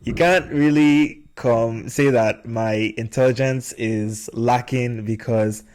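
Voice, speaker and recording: A man's voice: mid-range voice, fairly even intonation, slow pace, a little mellow. A young adult man hosting a podcast in a casual conversational style. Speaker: podcast host.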